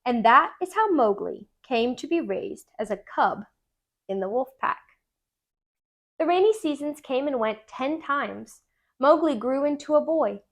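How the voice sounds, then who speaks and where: A woman narrating a children's storybook aloud in an expressive, deliberate storytelling manner. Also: sits high. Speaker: tutor reading aloud.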